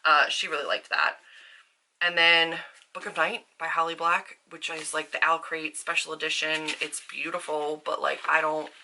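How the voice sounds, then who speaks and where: Low voiced woman, super bright sharp tone, chatty upbeat vlog talk, lots of likes and side comments. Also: steady tone. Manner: informal, upbeat, peppered with "like" and quick asides. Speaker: book vlogger and author. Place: indoors at a desk at home.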